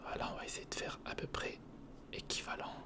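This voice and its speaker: A man whispering an informal ASMR narration of hands-on work, thinking aloud and softly repeating words, close to the microphone. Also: brisk. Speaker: ASMR video creator. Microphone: close recorder or studio microphone.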